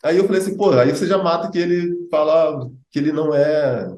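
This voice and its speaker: A man's voice: fairly high pitch, brisk, a little mellow, reverberant. A Brazilian man, an English teacher and host, explaining in a chatty way with many fillers and asides. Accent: Brazilian Portuguese. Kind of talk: casual explanatory chat.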